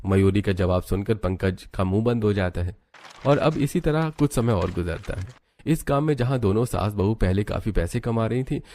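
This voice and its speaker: A man's voice: lowish voice, animated, normal speed, dark tone. A man narrating a scripted moral story as its storyteller.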